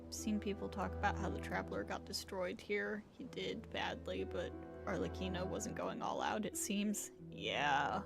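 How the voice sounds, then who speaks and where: Woman, game streamer, casual commentary, vocal fry, mid pitch, prominent music in the background. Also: moderate pace, hardly any pauses.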